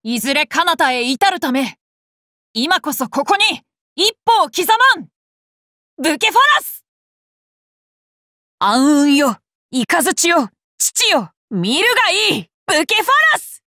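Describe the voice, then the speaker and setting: A boyish young warrior king for an anime game, confident and curious. The voice is high and bright, with unhurried, courteous phrasing. It sits close and dry over game music. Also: big pitch swings, no echo, heavily acted. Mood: heroic, commanding. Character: eager, polite boy king and mounted swordsman. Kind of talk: Japanese anime game battle voice lines.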